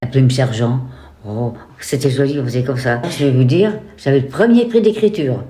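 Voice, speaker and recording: A woman's voice: extremely low pitch, moderate pace, mellow. An older woman, a retirement home resident, rambling animatedly about her life and often adding "hein". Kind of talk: recalling memories in an interview. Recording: roomy with music underneath.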